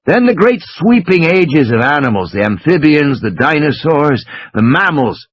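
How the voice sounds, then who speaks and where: A man acts out an impassioned, exasperated male intellectual for an audiobook, lecturing with scorn. The voice is fairly high and husky, and it rises and falls dramatically with every emphatic point. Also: dry recording, dull audio, heavily acted. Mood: grand, intense. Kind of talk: dramatised audiobook character reading.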